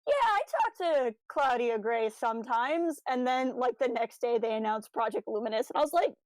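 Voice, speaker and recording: Female podcast host, slightly high-pitched, close dry sound. Chatty, jokey fan enthusiasm, happy to wander into asides. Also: expressive, moderate pace, no room sound, low-quality recording. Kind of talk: casual fan podcast chat.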